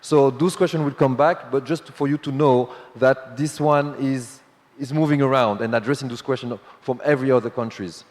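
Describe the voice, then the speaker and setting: A man moderating a conference panel, talking in a hurried, loose way with many fillers. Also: mid-range voice, a little mellow. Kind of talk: panel discussion. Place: large conference hall. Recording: long hall reverb.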